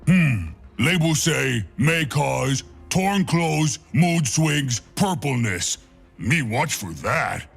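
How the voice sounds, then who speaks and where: A huge, simple-minded video game brute who fancies himself a physician. The voice is very gravelly and rough, slow and plodding, mangling grammar and naming himself in third person. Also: male voice, fairly high pitch, animated, faint music behind, exaggerated voice. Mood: pondering, cheerful. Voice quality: gravelly, husky. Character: hulking dim-witted mad purple brute who believes he's a doctor. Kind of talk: video game character voice lines.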